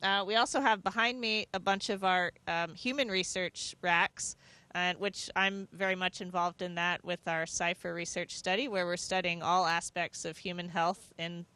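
A woman's voice: on the low side, fairly even intonation, moderate pace, pushed and bright. A woman, around her forties, speaking as an astronaut in an interview, explaining things conversationally into a handheld microphone. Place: inside a space station module.

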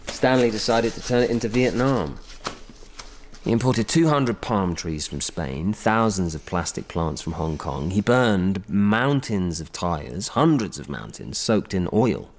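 An actor playing a devoted, earnest film director's assistant in a radio drama, reflective and halting. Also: male voice, on the low side, animated. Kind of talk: naturalistic radio drama monologue and dialogue.

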